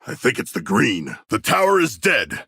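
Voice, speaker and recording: An actor playing a huge humanoid shark warrior in a video game, earnest and bloodthirsty, with formal, grandiose and literal-minded diction. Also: male voice, mid-range voice, huge pitch swings, moderate pace, gravelly, sharp tone, husky, no echo, clean studio sound, heavily acted. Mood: formal, sincere. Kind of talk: video game character voice lines.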